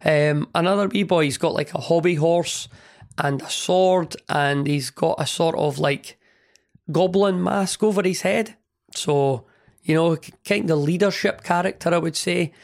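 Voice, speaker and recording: Man, hoarse cold-worn voice, slightly high, rambling podcast chat. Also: a little slow. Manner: rambling, chatty, friendly enthusiasm. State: partly lost voice from a cold. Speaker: podcast host. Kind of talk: casual hobby podcast monologue.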